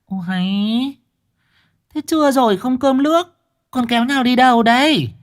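A man's voice: very high voice, unhurried, voice pushed up. A man voicing a fussy old village grandmother who nags and exclaims. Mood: scolding. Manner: nagging, exclaiming.

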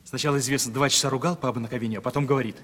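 An actor playing a timid, simple young merchant's son in love, folksy and fretful, in a Russian radio play. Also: male voice, mid-range voice, fairly even intonation, rapid-fire, a bit bright, natural voice. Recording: reverberant old radio play, music behind.

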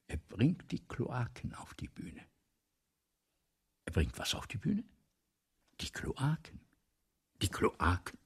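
Husky, grandiose old writer. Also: male voice, mid-range voice, full character voice. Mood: proud, declaiming. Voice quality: husky, breathy, often dropping to a whisper. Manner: theatrical, pompous, halting and repetitive. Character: vain, ailing, forgetful old French writer, grandiose and rambling. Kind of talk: 1970s German radio play acting.

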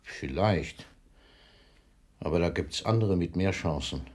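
An actor playing an aging, famous poet in a German radio drama, a gruff but patient mentor with a measured, declamatory delivery. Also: male voice, deep voice, darkish tone, no echo, studio quality. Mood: patient, explaining. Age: older.

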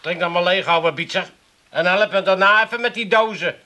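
An actor playing a put-upon, no-nonsense barkeeper with an Amsterdam accent, blunt and matter-of-fact in radio comedy style. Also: male voice, high-pitched, brisk, loud music behind the voice, lightly acted. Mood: exasperated. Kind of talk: 1980s radio comedy acting.

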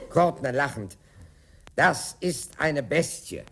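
An older man telling a theatrical anecdote, acting out the dialogue with dramatic pauses. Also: a little high, big pitch swings, very noisy.

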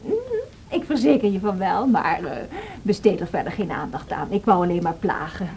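A woman's voice: lowish voice, lively intonation, normal speed, vocal fry, darkish tone. An actress playing a spirited, imperious young married woman in a radio drama, theatrical and haughty. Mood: teasing. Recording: noisy old radio drama recording.

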